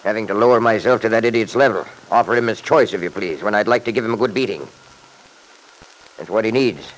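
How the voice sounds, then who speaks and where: An actor playing a wealthy, arrogant middle-aged father in a classic radio soap, gruff, blustering and short-tempered. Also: fairly low pitch, quick pace, slight background noise, lightly acted, poor audio. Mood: indignant, scornful.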